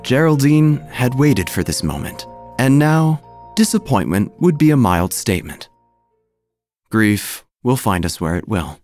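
Male actor as a droll small-town narrator, audio drama, animated intonation, theatrical deadpan phrasing, music behind. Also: mid-range voice, a little slow, no echo. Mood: droll, confiding. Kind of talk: scripted comedic narration, storytelling. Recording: music under the voice.

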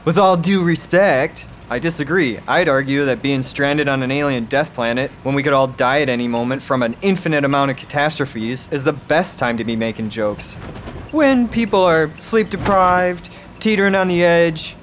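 A man's voice: a little high, moderate pace, fairly bright tone, slight background noise, natural voice. An actor playing a glib, bantering space crewman in an audio drama. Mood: smug, argumentative. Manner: glib, bantering, mock-formal. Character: wisecracking, laid-back male space crewman, defiantly jokey.

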